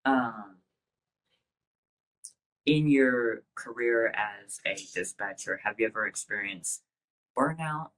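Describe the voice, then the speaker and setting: A man's voice: medium pitch, slow, pauses often, fairly bright tone, rough recording. A man acting as interview host, putting prepared questions to a guest.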